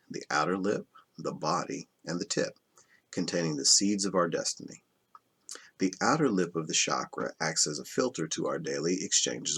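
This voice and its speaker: A man reading spiritual self-help nonfiction aloud in a measured, instructional way. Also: sits low, fairly bright tone, slightly rough. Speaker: reader of a self-help book.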